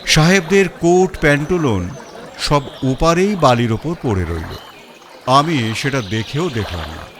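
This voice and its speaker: A man's voice: mid-range voice, animated, measured pace, noisy background. A man narrating a first-person detective story in a storytelling style.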